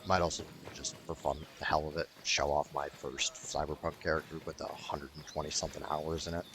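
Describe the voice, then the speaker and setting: A man's voice: very deep voice, level tone, a little fast, fairly bright tone, slight background noise. A man hosting a gaming video with casual, rambling, mildly profane unscripted commentary.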